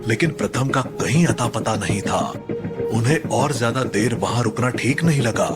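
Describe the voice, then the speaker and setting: A man's voice: mid-range voice, lively intonation, normal speed, over loud music. A man narrating a scripted horror story in a dramatic, suspenseful manner.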